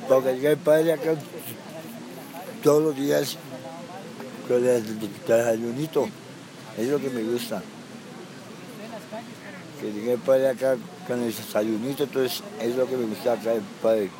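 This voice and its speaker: Man, 30s, halting repetitive answers, park interview with busy crowd behind. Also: medium pitch, steady tone, rough recording. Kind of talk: street interview. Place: city park outdoors. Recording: outdoor crowd noise. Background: street crowd and traffic.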